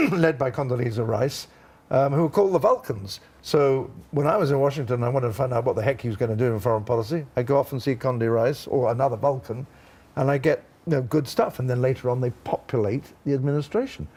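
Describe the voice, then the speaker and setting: A man's voice: mid-range voice, quick pace, dark tone. An older British man giving expert commentary on a news panel, with wry, blunt, colloquial asides. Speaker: former ambassador, studio guest.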